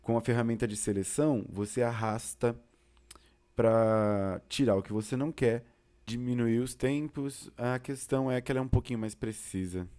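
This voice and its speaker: A man with a Brazilian accent giving an informal software tutorial, explaining casually as an instructor. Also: on the low side. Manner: casual, explanatory, uses diminutives.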